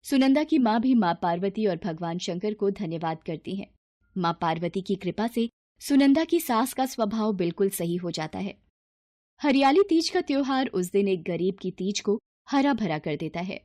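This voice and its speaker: A woman's voice: medium pitch, expressive, moderate pace, a little mellow. A woman storyteller giving scripted narration of a moral bedtime tale.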